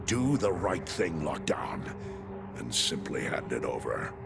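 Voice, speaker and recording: Casting: giant robot leader, noble and solemn, gravelly bass, wide swings in pitch, big score behind. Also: male voice, sing-song, unhurried, exaggerated voice. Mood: stern. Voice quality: deep, gravelly. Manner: grave, formal, commanding. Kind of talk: video game cutscene voice acting. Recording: loud music under the voice.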